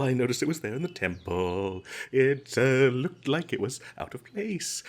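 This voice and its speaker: A man playing a smooth-talking captured adventurer in a comedic fantasy audio roleplay, stammering and flattering with flowery politeness. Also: medium pitch, heavily acted. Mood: sheepish excuses. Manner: hesitant, stammering, ingratiating, flowery and polite phrasing.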